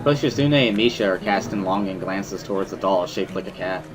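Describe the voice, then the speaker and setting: A man reading first-person visual novel narration in a dramatic, expressive storytelling manner. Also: sits low, fairly quick, music in the background.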